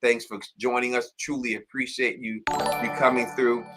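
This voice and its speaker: A man hosting a casual live stream, giving upbeat shout-outs to viewers and repeating words, his voice getting a little raspy. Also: mid-range voice, level tone, normal speed, music in the background.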